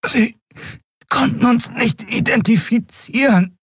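A tearful woman being strangled in a fantasy audiobook, acted by a male narrator. Her voice is high, breathy and gasping, defiant yet resigned. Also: very animated, slow, a bit bright, dry recording, clean studio sound, exaggerated voice. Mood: choked pleading.

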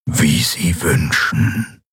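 A man as a deep, slow machine monster. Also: animated, fairly bright tone, slightly rough, dry recording, studio quality, faint music behind, exaggerated voice. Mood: menacing. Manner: slow, rumbling, formal. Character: menacing, coldly polite dark machine monster, interrogator torturer. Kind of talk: audiobook character voice.

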